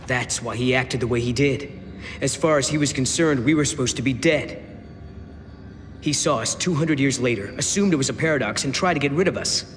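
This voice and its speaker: A man's voice: mid-range voice, fairly quick, a little hiss or hum, lightly acted. Young game hero played by a man, reverberant. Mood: thoughtful. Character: determined young male hero, thoughtful and wry. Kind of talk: video game cutscene acting. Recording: reverberant game audio under loud music.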